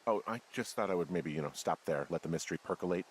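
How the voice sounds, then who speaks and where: A man's voice: low-pitched, fast, a little hiss or hum, close to an everyday voice. An American man playing a wry, wary middle-aged fire lookout in a story video game, speaking in a casual, dry, hesitant way. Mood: tense, suspicious. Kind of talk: naturalistic game dialogue. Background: game music.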